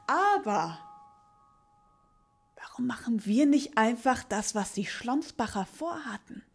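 A young woman improvising an evasive, money-minded character in a stage audio drama, in a halting manner. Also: on the high side, lively intonation, gravelly, slightly rough, faint music behind, full character voice. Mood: defensive, evasive. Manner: halting, self-interrupting. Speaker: improv actress. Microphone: stand microphone at a table.

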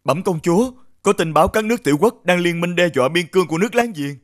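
An actor playing a formal, obsequious royal officer reporting to rulers in an animated fairy tale. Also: male voice, high voice, quick pace. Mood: nervous, deferential.

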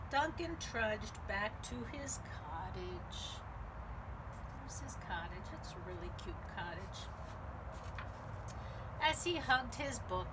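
A middle-aged American woman reading aloud to children at a library storytime, exclaiming and adding chatty asides, outdoors on a patio. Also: a little high. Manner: animated, exclaiming, chatty asides to young listeners. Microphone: camera mic a short distance away. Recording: distant outdoor mic, clear background noise.

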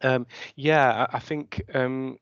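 A man's voice: mid-range voice, fairly even intonation, slow pace, fairly bright tone. A middle-aged man answering conference questions over a video call, hesitant and self-deprecating, with many restarts. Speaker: keynote speaker. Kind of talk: conference talk and Q&A. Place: home room on video call. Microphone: headset. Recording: muffled lo-fi video call audio.

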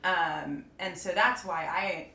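A woman in a casual panel discussion, speaking earnestly and reflectively, with some halting. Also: lowish voice, sharp tone. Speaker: drama podcast cast member in a roundtable. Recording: roomy echo, clear background noise.

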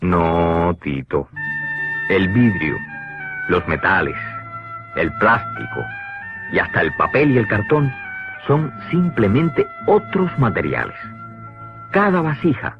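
A man's voice: low voice, very animated, slow pace, long pauses. A man narrating an educational cartoon from a script in a didactic, explanatory manner. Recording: dull lo-fi old cartoon soundtrack with music. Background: clear background music.

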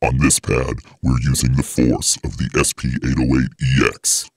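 A gravelly giant. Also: male voice, little pitch movement, moderate pace, no echo, clean studio sound, exaggerated voice. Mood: deadpan. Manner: demonstrating a product. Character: huge deep-voiced man. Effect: voice transformer pitched down.

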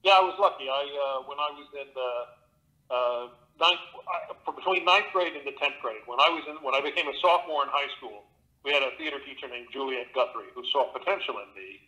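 Man, middle-aged, actor and narrator, bright tone, theatrical storyteller, home studio. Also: mid-range voice, brisk, poor audio. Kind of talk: casual interview talk.

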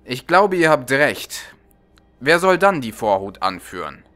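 Man reading as a blunt, distrustful emperor, bright voice. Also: medium pitch, big pitch swings, measured pace. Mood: conceding. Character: suspicious, brooding male emperor, commanding and distrustful.

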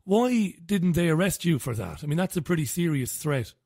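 A middle-aged Irish man hosts a talk radio interview, his voice a bit higher than most men's. The sound is dry and close. He talks in a conversational, slightly hesitant way, with frequent fillers. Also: sing-song, normal speed, creaky, darkish tone, no room sound. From Ireland. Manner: conversational, hesitant, with fillers, reads quotes aloud. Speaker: radio show host.

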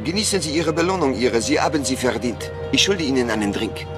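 An actor playing an earnest, idealistic resistance leader in a German-dubbed video game, speaking in a solemn, rousing way. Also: male voice, mid-range voice, expressive, fairly quick, lightly acted. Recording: loud music behind game dialogue.

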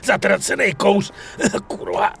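A man playing an excitable, foul-mouthed gangster sidekick in a dubbed game, brash and slangy. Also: high voice, creaky, slight background noise, heavily acted. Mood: elated. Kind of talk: dubbed video game acting.

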